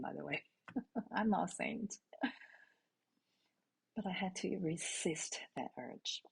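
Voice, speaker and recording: A middle-aged woman from Hong Kong, a native Cantonese speaker, telling a personal parenting story in a calm, intimate way and asking her listeners questions. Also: fairly low pitch, gravelly, husky, rough recording. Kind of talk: reflective spoken essay.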